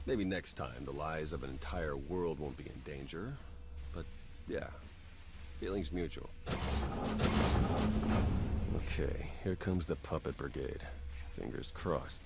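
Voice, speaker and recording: A man's voice: very deep voice, takes it slowly, gravelly, pauses often, over loud music, full character voice. An actor playing a wry, protective male secret agent in his thirties in a video game cutscene. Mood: wry, shy. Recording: game audio with noisy ambience behind.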